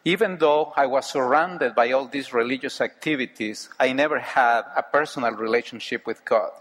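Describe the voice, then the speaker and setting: A middle-aged man from Mexico, speaking English with a Mexican Spanish accent, giving an earnest, measured personal testimony speech. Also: medium pitch, fairly bright tone. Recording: hall with long reverb.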